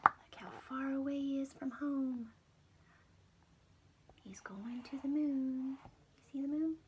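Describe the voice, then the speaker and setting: A woman preschool teacher reading a storybook aloud and chatting to young children, expressive and full of questions for her listeners. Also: sits high, slow, dull audio, noisy background.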